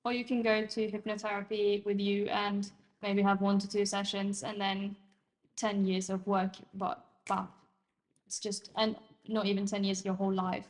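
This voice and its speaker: Casting: young woman, Norwegian native, mid-pitched, sincere and emphatic, casual guest. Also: monotone, normal speed. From Norway. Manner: earnest, emphatic, filler "like". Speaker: guest sharing her experience. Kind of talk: casual interview chat.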